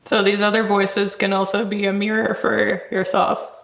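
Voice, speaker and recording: A woman's voice: medium pitch, level tone, normal speed, creaky. A woman hosting an interview, asking thoughtful questions. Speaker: interviewer, podcast host. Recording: roomy, echoing sound.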